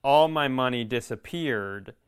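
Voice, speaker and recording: A man with a clear, smooth voice talks casually as a comedy podcast co-host. His delivery is rambling and self-deprecating, at an ordinary middle pitch. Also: unhurried. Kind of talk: casual comedic banter and announcements.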